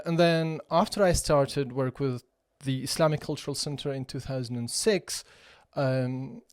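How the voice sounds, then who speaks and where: A Kurdish-accented man in his forties talks through his background in a measured, reflective way. He pauses often and restarts phrases. His voice sits at an ordinary pitch in a dry, close-miked room. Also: a little mellow, clean tone, dry recording. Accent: Iraqi Kurdish. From Kurdistan region of Iraq. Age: 40s. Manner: thoughtful, with many fillers and restarts. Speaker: podcast guest, chief executive of a cultural centre. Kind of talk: conversational interview.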